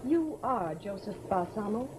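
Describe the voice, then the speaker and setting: An actress playing a brisk, bossy, wisecracking female sleuth with wry delivery in an old-time radio drama. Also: mid-range voice, lively intonation, a little mellow, slight background noise, natural voice. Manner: forthright, wry.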